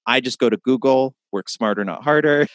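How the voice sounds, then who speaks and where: A man's voice: medium pitch, brisk, no room sound, studio quality. A man hosting a casual podcast, upbeat and chatty, explaining things as he goes. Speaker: podcast host.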